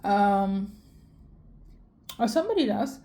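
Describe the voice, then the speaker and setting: A woman's voice: mid-range voice, sing-song, takes it slowly, warm tone. A young woman from Sweden giving a casual spiritual reading, rambling with many fillers and self-corrections. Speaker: tarot reader.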